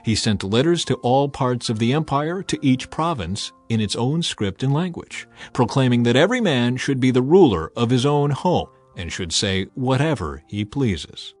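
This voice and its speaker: A man reading scripture as a dramatic audio narration, in a measured, solemn storytelling manner. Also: mid-range voice, lively intonation, a little mellow. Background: background music.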